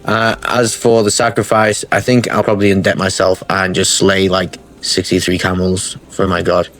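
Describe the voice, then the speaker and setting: Low, flat-voiced man explaining his game moves. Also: moderate pace. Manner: matter-of-fact, explains each move step by step. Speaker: gaming channel host. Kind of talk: strategy game playthrough commentary. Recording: faint music bed under the voice.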